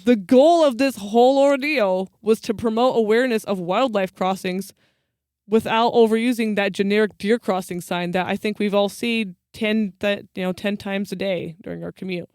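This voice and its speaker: A woman's voice: medium pitch, normal speed, a little mellow, clean tone, no echo, studio quality. A woman hosting a podcast, telling stories in an informal, wry, chatty way. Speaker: podcast host. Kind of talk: casual storytelling chat with co-host.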